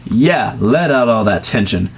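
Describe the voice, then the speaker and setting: Deadpan young man reading aloud. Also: a little slow, vocal fry, slight background noise. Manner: deadpan reading broken by fits of high laughter. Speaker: dramatic reader. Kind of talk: comedic dramatic reading of fan fiction.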